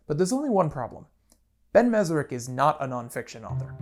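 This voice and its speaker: High-pitched wry man narrating over music. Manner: wry, casual, dramatic storytelling. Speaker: video essayist and narrator. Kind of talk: scripted video essay narration with wry asides. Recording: music playing behind voice.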